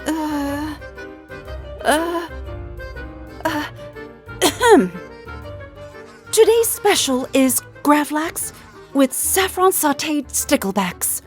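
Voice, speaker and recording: A woman voicing an excitable, fussy woman chef in a children's storybook, with a prim theatrical manner. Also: high-pitched, extremely slow, long pauses, soft background music, pitched above the performer's own voice, heavily acted. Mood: nervous, eager.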